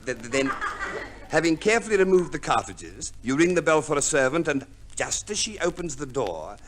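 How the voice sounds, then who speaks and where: Fussy, scheming lawyer, swooping delivery. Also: male voice, a little high, big pitch swings, moderate pace, a bit bright. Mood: relishing instruction. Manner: hesitant stammering, pedantic, precise. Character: fussy, shrewd male divorce lawyer, theatrically scheming. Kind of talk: 1950s radio play acting.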